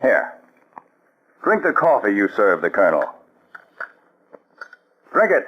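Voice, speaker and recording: An actor playing a firm, controlled counterspy chief in a 1940s radio drama. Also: male voice, on the low side, huge pitch swings, slow pace, breathy edge, full character voice. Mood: confronting. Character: calm, commanding male counterspy chief. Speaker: lead agent. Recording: dull old radio broadcast.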